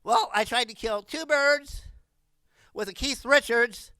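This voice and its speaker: A woman in her sixties performing a stand-up comedy monologue in an animated, rambling way. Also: fairly high pitch, a little slow, no echo. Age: 60s.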